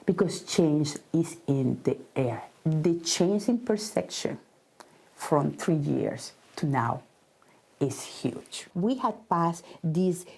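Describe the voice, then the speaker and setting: A woman's voice: low-pitched, animated, slow, vocal fry, mellow, slightly rough, soft background music. A woman from Venezuela with a Venezuelan Spanish accent, a native Spanish speaker and robotics business owner, answering interview questions earnestly and sometimes searching for words in English.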